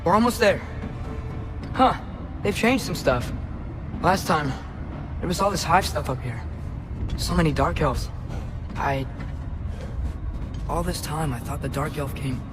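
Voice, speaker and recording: A man's voice: on the high side, animated, unhurried, pauses often. A male actor playing an earnest, questioning teenage son in a fantasy game, sincere and hesitant, with music behind. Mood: troubled, unsure. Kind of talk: cinematic fantasy game acting. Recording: loud music over the voice.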